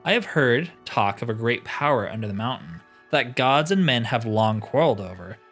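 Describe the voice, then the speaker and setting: A man acts out a wise elder of a fantasy game, solemn and formal. The tale unfolds in measured storytelling, with soft music behind it. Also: medium pitch, animated, close to an everyday voice. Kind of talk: fantasy game roleplay reading.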